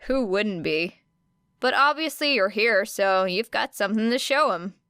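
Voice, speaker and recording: A woman's voice: medium pitch, lively intonation, measured pace, a bit bright, smooth, no echo, clean studio sound. A woman voicing a jaded, blunt teenage girl with a weary manner in an audiobook story. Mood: gruffly encouraging. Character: jaded, blunt, foul-mouthed teenage girl exam applicant.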